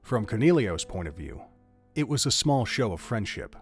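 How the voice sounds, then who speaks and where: Man, scripted story narration, slightly low pitch, music behind the voice. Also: very animated, normal speed. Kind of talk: scripted audio drama narration.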